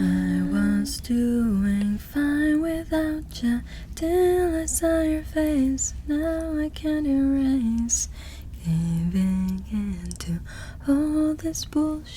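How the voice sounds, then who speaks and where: A woman doing ASMR, singing softly and gently in a breathy voice that often drops into a whisper. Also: high voice. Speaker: ASMR performer.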